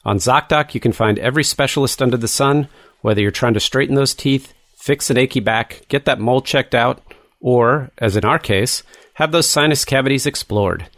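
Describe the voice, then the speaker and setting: A man's voice: mid-range voice, moderate pace, fairly bright tone, no room sound. Man reading a dry, close podcast ad. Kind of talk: scripted first-person ad read.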